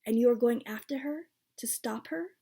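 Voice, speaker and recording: Woman reading as a quiet, steady goldfish seller. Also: fairly high pitch, moderate pace, gravelly, slightly rough. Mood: calm, steady. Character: calm, slender male goldfish seller, wise and steady.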